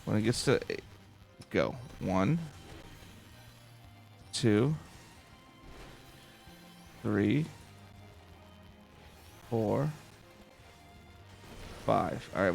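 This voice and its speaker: Creaky-voiced man doing calm game commentary. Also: on the low side, extremely slow, long pauses, faint music behind. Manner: casual, talks to himself while playing. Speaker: let's play host. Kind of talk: calm, slow-paced gameplay commentary.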